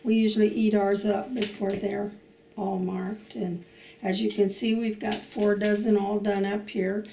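An older woman explains a family recipe from her home kitchen, taking her time over each step. Her voice has a slight gravelly edge, and faint noise sits under it. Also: medium pitch, little pitch movement, dark tone, recorded from a distance, poor audio. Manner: slow, homely explaining. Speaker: home cook. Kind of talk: cooking demonstration.

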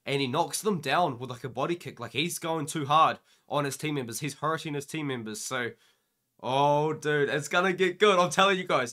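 Excitable sick young man, bright voice. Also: fairly high pitch. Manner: excited, rambling, says "man" and "dude" a lot. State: sick, losing his voice. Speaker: MMA YouTuber. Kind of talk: casual reaction vlog.

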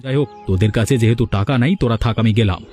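A fast-talking, condescending rich college boy who brags to his friends, as a man plays him. Faint music runs under the voice. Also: medium pitch, a little mellow, natural voice. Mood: arrogant. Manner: arrogant, condescending. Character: boastful rich young male college student.

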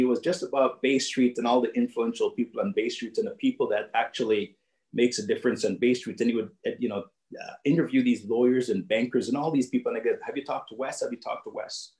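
A man's voice: medium pitch, steady tone, fast, darkish tone, roomy sound, rough recording. Jamaican man telling his story on a video call. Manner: earnest storytelling, repeats phrases for emphasis. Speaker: guest speaker. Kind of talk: personal story told to young people. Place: home office, indoors.

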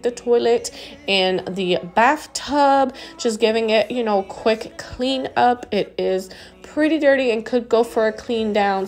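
A woman's voice: a little high, a little mellow. A woman in her thirties, a stay-at-home mom, giving a casual, upbeat voice-over about cleaning her house, with small laughs.

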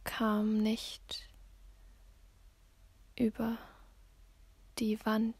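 A woman reads a poem in a hushed, breathy voice with a gravelly fry at its edges. Her delivery is extremely slow, with long silences between short phrases. She stays close to a whisper throughout. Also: on the high side, monotone, no echo. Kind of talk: spoken-word poetry reading, slow and sparse.

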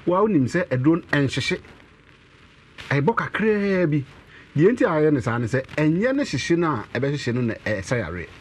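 A Ghanaian man in his thirties, an herbal medicine representative, explaining with animated gestures in a studio interview. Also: a little high, moderate pace, breathy edge. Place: TV and radio studio.

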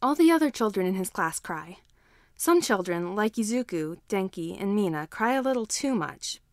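Female story narrator, mid-pitched, reading scripted fiction in a dry, close recording. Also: animated, normal speed, no echo. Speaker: fan fiction reader.

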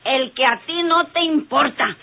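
Slow-drawling stubborn older drinker. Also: female voice, high-pitched, gravelly. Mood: defiant. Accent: Mexican. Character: stubborn, hard-drinking older rural woman, defensive. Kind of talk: old radio soap acting. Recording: tinny band-limited old radio drama.

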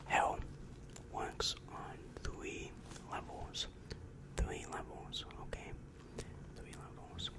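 A young man playing a calm, reassuring demon guidance counselor in an ASMR roleplay, whispering breathily and patiently explaining, close to the microphone. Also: close to an everyday voice. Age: young adult. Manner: slow, soothing, patient explaining. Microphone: close-up microphone with foam windscreen.

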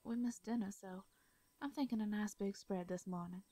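A woman with a Southern accent voicing a shy, caring hermit farmer woman in a comforting audio roleplay. She speaks softly, stammers and laughs lightly. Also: medium pitch, normal speed, no room sound. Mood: warm.

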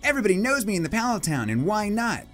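A man's voice: sits high, sing-song, quick pace, fairly bright tone, soft background music, pitched above the performer's own voice. A man voicing a cocky, boastful young boy trainer in a comic dramatic manga reading. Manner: boastful, exaggerated.

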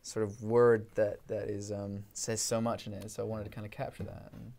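A young man from Canada with an accent mixing Canadian and English, chatting softly and rambling with many fillers in a small studio room. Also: fairly low pitch, level tone, normal speed, creaky. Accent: Canadian mixed with English. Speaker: guest singer-songwriter. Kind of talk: casual chat, then singing with acoustic guitar.

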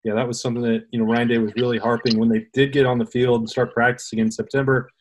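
A man in his thirties with a middle-pitched voice hosts a sports talk show over a video call. He chats easily, tees up questions and introduces the tape. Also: level tone, fast, slight background noise, low-quality recording. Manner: conversational, sets up questions and clips. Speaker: host, sports reporter. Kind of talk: casual sports talk interview.

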